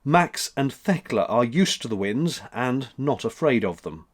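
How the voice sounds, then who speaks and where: Male storyteller, mid-pitched, expressive. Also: unhurried. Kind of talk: expressive scripted story narration.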